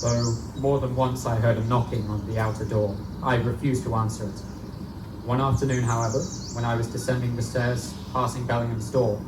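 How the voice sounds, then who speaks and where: A man playing an earnest, studious young student narrating a gothic tale in formal, measured phrases at a live reading, recorded in a roomy, noisy space. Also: mid-range voice, little pitch movement, close to an everyday voice. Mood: grave, measured. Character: Smith, earnest studious young male Oxford student recounting eerie events. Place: live stage reading in a bookstore. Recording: echoey live recording, distant mic, room noise.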